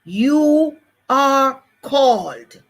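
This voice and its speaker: Slow, emphatic Jamaican woman speaker. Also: sits high, lively intonation. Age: older. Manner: emphatic, repeats key phrases. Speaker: motivational speaker. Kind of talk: motivational live-stream talk.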